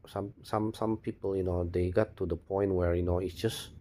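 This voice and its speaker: Male vlogger, non-native English speaker, deep relaxed voice, unscripted rambling with frequent repeats and restarts. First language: not English. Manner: repetitive, halting, restarts words and phrases often. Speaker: video blogger. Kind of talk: spontaneous rambling monologue.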